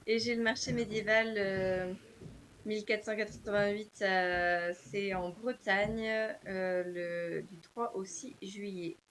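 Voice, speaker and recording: Woman, publisher guest, halting speech full of fillers, faint hiss on the line. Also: medium pitch, steady tone, fairly bright tone. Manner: hesitant, many fillers. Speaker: publisher and author. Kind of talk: casual interview answers.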